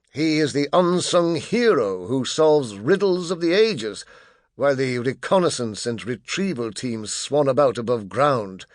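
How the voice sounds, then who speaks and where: A man's voice: mid-range voice, dry recording, studio quality. A man reading a children's fantasy audiobook as narrator, telling the story expressively with emphatic stresses.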